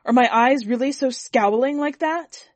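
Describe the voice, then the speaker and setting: A woman voicing a vain, pompous, quick-tempered lordly master in a story, speaking with indignant emphasis. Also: sits high, no room sound, voice pushed up.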